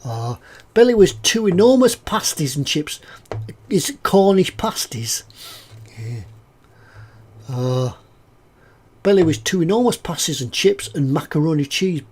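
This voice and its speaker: A fairly high-voiced man with a snuffly, stuffed-up sound from a cold. He chats in a relaxed, rambling way as he works on a model kit, filling pauses with "uh" and circling back over his own words. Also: husky. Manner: rambling and chatty, with frequent "uh"s and self-repeats. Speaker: scale-model builder hosting a live stream. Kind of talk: casual livestream chat, reading out viewer comments.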